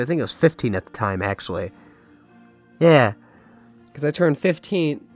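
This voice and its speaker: A young adult man hosting his own podcast, talking in a rambling, confessional way, his voice a little hoarse as it recovers. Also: a little high, fairly bright tone. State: slightly hoarse, recovering lost voice. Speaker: podcast host. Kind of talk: casual solo podcast talk. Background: faint jazz music.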